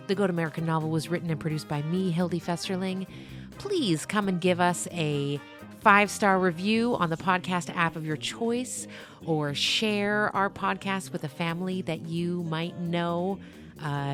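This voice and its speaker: A woman hosting and narrating a story podcast in an expressive storybook style. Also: lowish voice.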